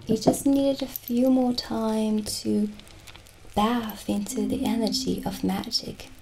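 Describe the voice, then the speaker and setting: A middle-aged woman playing a gentle, mystical witch who sells potions, soft-spoken and soothing in an improvised ASMR roleplay. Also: a little high, fairly even intonation, slow pace, low-quality recording. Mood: friendly, unhurried. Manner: soft-spoken, soothing, lapsing into breathy near-whispers. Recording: soft background music. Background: soft music.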